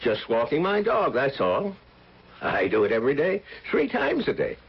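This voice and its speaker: An actor playing a nosy, folksy neighbourhood dog walker in a radio drama, chatty and indignant in plain working-class speech. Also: male voice, medium pitch, wildly swooping pitch, normal speed, creaky, breathy edge, exaggerated voice. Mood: indignant, huffy. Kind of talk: old-time radio drama acting. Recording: muffled, noisy old radio broadcast.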